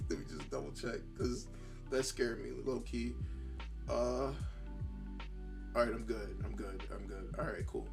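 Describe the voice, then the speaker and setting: A man hosting a solo podcast in a tired, worn voice, thinking aloud casually and haltingly. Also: medium pitch, lively intonation, vocal fry, over music. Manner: halting, rambling, self-reflective. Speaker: podcast host.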